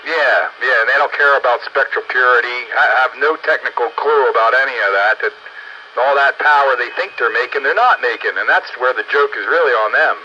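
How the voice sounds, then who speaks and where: A man's voice: medium pitch, fairly quick, dull audio. A man in casual CB radio chat, sardonic and complaining with rhetorical questions, on a noisy transmission. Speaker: radio hobbyist.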